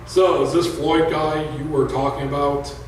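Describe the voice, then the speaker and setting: A man's voice: on the high side, little pitch movement, normal speed, slight background noise, natural voice. A man reading the lines of an easygoing, friendly young woman, in nearly his own voice. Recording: echoey room from far off.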